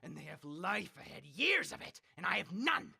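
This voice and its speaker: A man's voice: high-pitched, sing-song, normal speed, creaky, bright, forceful tone, breathy edge, heavily acted. A man playing a dying old tyrant leader in a radio drama, ranting, imperious and threatening. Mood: bitter, desperate. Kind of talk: 1930s-style radio drama acting.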